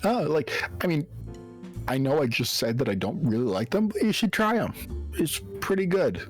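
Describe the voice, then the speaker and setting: A man's voice: on the high side, big pitch swings, moderate pace. A man on a live stream talking casually as a guest, rambling with lots of fillers and swearing. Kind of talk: casual chat. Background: background music.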